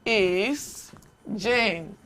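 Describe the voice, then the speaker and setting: A woman teaching a beginner English lesson, speaking deliberately and repeating short phrases for learners to echo. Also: mid-range voice, fairly bright tone, a little hiss or hum.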